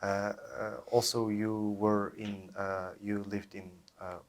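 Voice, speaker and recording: A male interviewer with a somewhat low voice asks his questions in English. He speaks hesitantly, with fillers, and keeps the talk going with short acknowledgements. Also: flat delivery, slow pace, a little hiss or hum. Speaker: interviewer, host.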